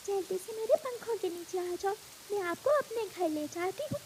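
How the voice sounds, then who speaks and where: A woman's voice: extremely high pitch, expressive, moderate pace, a little mellow, a little hiss or hum. A woman voicing a brave, kind, helpful little girl bird in a children's cartoon. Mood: reassuring.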